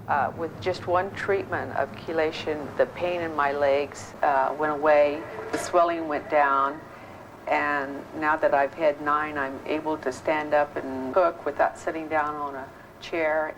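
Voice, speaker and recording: A woman with a very low, monotone voice gives a patient testimonial. She is halting and matter-of-fact, on a noisy videotaped interview. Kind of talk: plain spoken testimonial.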